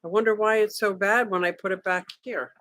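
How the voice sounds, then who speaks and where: Bright, playful livestream host chatting. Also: medium pitch, steady tone, brisk, a little hiss or hum. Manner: playful, jokey, greets viewers by name. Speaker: livestream host reading chat. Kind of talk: casual live chat.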